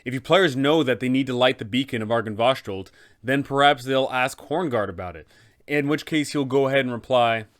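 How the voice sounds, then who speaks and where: A man's voice: medium pitch, brisk, a bit bright. Upbeat man giving game master tips. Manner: enthusiastic, upbeat. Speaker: game master guide host. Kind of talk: casual tutorial narration.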